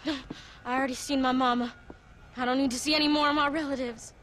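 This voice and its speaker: A very high, bright little voice, a frightened country girl who has lost her family, sobbing and rambling on in plain rural talk. A light hiss of ambience sits under it. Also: fairly even intonation, quick pace. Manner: tearful, rambling, rural folksy speech. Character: frightened young girl, orphaned by the undead, rambling. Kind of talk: video game cutscene acting.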